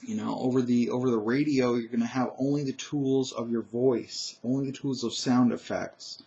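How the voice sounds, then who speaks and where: A man with a naturally loud voice talking alone about tabletop gaming, emphatic and opinionated, with frequent "uh"s and restarts. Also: medium pitch, steady tone, normal speed, gravelly, muffled recording. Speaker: gaming commentator. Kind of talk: solo opinion monologue.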